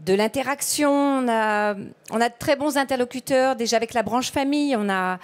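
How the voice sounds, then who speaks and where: A woman speaks as a panelist at a public conference, her voice on the higher side. She pauses often and fills the gaps with hesitations. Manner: hesitant, filler-heavy speech. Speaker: elected official, panelist.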